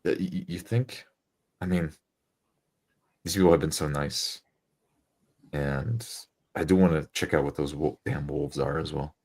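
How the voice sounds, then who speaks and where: Man playing a very deep, hesitant novice adventurer. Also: measured pace, long pauses, a little mellow, exaggerated voice. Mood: unsure, deferential. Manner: hesitant, stammering. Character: awkward, unsure novice male adventurer, deferential.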